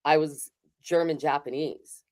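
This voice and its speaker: Low-voiced candid woman from Hawaii. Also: fairly even intonation, measured pace, a little mellow. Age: middle-aged. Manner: candid, conversational, emphatic. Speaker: keynote speaker. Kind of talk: raw personal keynote talk. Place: home studio. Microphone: video call.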